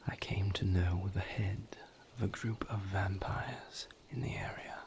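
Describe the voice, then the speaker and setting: A low, gravelly vampire lover, acted by a man speaks in an intimate roleplay monologue. He is brooding and somber, confiding a grim past slowly and haltingly in a breathy murmur. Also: steady tone, darkish tone. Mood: weary, regretful. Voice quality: breathy, gravelly near-whisper. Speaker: audio roleplay performer.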